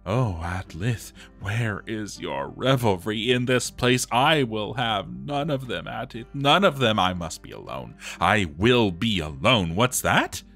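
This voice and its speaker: Man acting a deep-voiced despairing dwarf. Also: animated, creaky, a bit bright, faint music behind, heavily acted. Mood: desperate madness. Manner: grave, slow, theatrical. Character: despairing male dwarf armorer journaling, slipping into madness. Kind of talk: dramatic journal reading.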